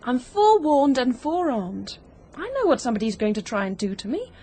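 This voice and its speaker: Bold, high-voiced young British schemer. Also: female voice, very animated, warm tone, breathy edge, heavily acted. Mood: confident, flippant. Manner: brisk, frank, flippant. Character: bold, quick-witted young woman plotting an undercover ruse. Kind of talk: old-fashioned British radio drama acting. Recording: muffled vintage radio drama recording.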